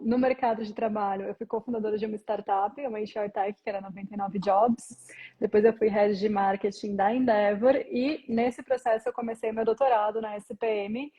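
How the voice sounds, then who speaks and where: A woman from southern Brazil, a guest on a live stream, speaking in a relaxed, articulate way. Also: mid-range voice, husky, lo-fi sound. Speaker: guest, luxury marketing researcher. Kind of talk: casual live chat. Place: São Paulo.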